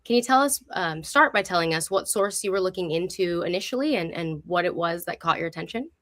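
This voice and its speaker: A woman's voice: on the low side, animated, brisk, a bit bright. A woman speaking as host of a live online show, presenting and interviewing in an upbeat, enthusiastic way.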